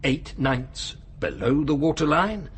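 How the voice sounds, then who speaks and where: An actor playing an urbane, cynical man of high authority in a radio drama, lecturing in a measured, condescending way. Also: lively intonation, a little hiss or hum. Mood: coolly amused. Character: male world ruler, urbane, coolly cynical, menacing authority.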